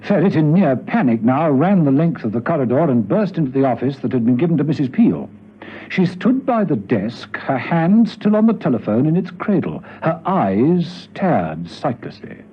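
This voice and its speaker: Guy narrating old radio thriller, highish voice, very animated, suspenseful. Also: moderate pace, breathy edge. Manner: suspenseful, theatrical. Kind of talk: dramatic scripted radio narration. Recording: dull, hissy old radio recording.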